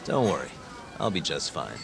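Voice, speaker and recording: Unflappable tough hero, deep voice, dry sarcasm, understated, video game cutscene, music behind. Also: male voice, big pitch swings, normal speed, creaky, exaggerated voice. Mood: calm. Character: cool, wry, unflappable male street-tough hero.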